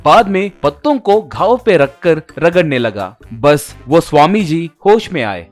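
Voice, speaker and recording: Animated, unhurried male storyteller. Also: on the high side, faint music behind. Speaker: narrator. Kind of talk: scripted storytelling narration for a cartoon moral tale.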